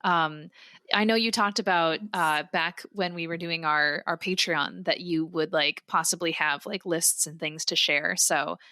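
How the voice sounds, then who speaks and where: Bright-voiced woman podcast co-host. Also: sits low, unhurried, no echo. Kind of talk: casual chat.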